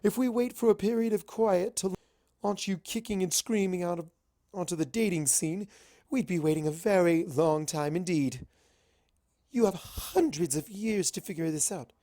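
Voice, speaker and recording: A man's voice: sits high, normal speed, warm tone, voice pushed up. A man voicing a refined, teasing young female pony with a theatrical, prim manner in a fan fiction reading.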